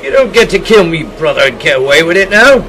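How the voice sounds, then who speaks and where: A man acts a brash flying-suit pilot in an audio drama. The voice is fairly high and very animated, cursing and defiant, with music behind it. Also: fairly quick, a little hiss or hum. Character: brash vengeful British pilot in steambot suit.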